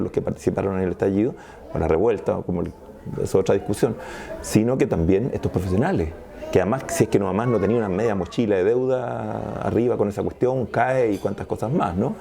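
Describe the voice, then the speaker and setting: Gravelly, low Chilean man explaining. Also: warm tone. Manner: discursive, analytical, frequent restarts. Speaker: sociologist guest. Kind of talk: interview conversation.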